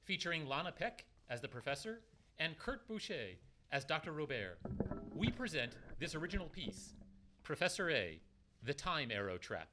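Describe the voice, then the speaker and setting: A man's voice: on the high side, very animated, normal speed. A man announcing a scripted introduction as presenter, on a noisy recording. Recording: clearly noisy recording with clicks.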